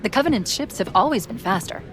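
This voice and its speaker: A woman voicing a calm, witty female shipboard AI in a game cutscene, speaking in a cool, dry, precise way. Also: medium pitch, quick pace, natural voice. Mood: calm briefing. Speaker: ship's AI. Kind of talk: video game cutscene acting. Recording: cinematic score underneath. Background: orchestral music.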